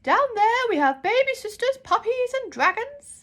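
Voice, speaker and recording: A storybook librarian of magic, booming out in a high, loud voice. She is an older, eccentric woman, brusque and imperious, speaking as if everyone were hard of hearing. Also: sing-song, normal speed, exaggerated voice. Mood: grand, instructive.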